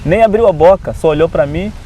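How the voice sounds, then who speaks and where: A man from Africa with an African accent, a non-native Portuguese speaker, telling his testimony as an Adventist preacher in an animated way, often adding "né". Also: a little high, a little fast, noisy background. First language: not Portuguese. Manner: animated storyteller who often adds "né". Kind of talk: personal testimony in conversation.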